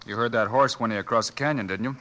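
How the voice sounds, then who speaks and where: A man playing a steady, self-assured Old West marshal in a 1950s radio drama, cool and matter-of-fact, with an American accent. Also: medium pitch, racing, natural voice. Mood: deliberate, reasoning. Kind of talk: 1950s radio western acting. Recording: noisy old radio recording.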